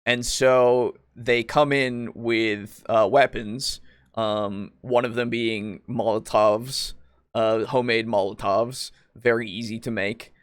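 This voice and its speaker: Halting, sweary man in casual discussion. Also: medium pitch, fairly even intonation, clear, resonant tone, no echo. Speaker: podcast co-host. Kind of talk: casual discussion of tabletop roleplaying.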